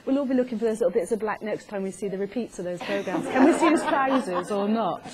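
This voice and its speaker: A woman hosts a casual interview on stage, chatty and full of jokey asides. Her pitch moves in a lively way around a middle register, with audience noise clearly behind her. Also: brisk, over a noisy crowd. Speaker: interviewer, host. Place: arts centre stage before an audience. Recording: live theatre with audience noise.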